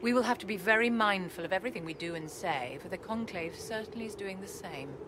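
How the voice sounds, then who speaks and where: An actress playing an imperious, elegant aristocratic enchantress in a video game, speaking in a poised, condescending, formal way. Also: female voice, medium pitch, background noise, close to an everyday voice. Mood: poised, calculating. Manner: poised, haughty, condescending endearments, measured formal speech. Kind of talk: video game cutscene acting.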